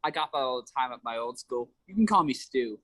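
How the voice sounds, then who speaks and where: A man's voice: mid-range voice, lively intonation, brisk, a bit bright, close to an everyday voice. A man reading the part of a friendly, talkative teenage boy new at school, in a table read over a video call. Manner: easygoing, chatty, slangy.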